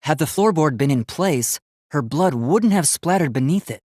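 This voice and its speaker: A man's voice: fairly high pitch, animated, moderate pace, a little mellow, dry recording, clean studio sound. A man voicing an earnest, polite young robot student in a video game, with formal, precise, logical speech that gets easily flustered.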